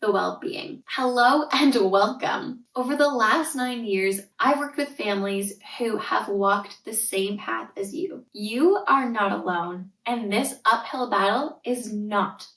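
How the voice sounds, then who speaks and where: A Canadian woman in her thirties with a somewhat high voice talks earnestly and reassuringly, with emphatic stress. She sits a bit back from the mic, and the room gives her voice a noticeable echo. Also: slow pace, few pauses, fairly bright tone, distant mic. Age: 30s. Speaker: podcast host, tutor and coach. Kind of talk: scripted solo podcast intro.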